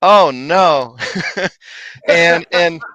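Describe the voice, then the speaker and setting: A man telling a lively, laughing story from his paranormal investigations in casual chat. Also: a little high, drawn-out words, dry recording, dull audio. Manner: animated, laughing, big voice.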